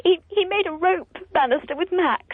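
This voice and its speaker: An actress playing a blunt, resentful estranged wife in a radio comedy drama, speaking reproachfully. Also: female voice, very high-pitched, normal speed, dry recording. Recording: tinny, phone-like, dry.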